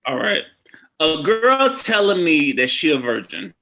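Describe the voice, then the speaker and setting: A man in his thirties, a podcast guest, telling dating stories in a casual, animated way. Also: fairly high pitch, measured pace, a crowd in the background. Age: 30s. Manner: animated storytelling, frequent "you know what I'm saying". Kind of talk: casual chat.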